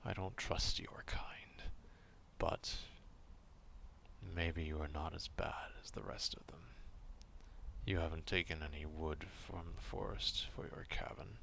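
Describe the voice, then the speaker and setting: A man performs a proud, gruff male werewolf in an ASMR roleplay. The voice is very low and gravelly, often sinking toward a whisper. The beast speaks in a stern, curt grumble, distrustful yet grudgingly caring. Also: steady tone, measured pace, fairly bright tone, heavily acted. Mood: guarded, softening. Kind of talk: slow ASMR roleplay acting.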